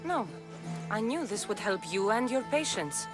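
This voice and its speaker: A woman's voice: fairly high pitch, expressive, moderate pace, music in the background, natural voice. A woman playing a self-assured, direct and wry Spartan woman adventurer in a video game cutscene. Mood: calm, assured.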